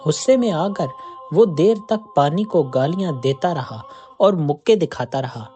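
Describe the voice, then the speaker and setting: Lively storyteller with a bright voice. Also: mid-range voice, measured pace, soft background music. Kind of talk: scripted narration of a moral folk tale.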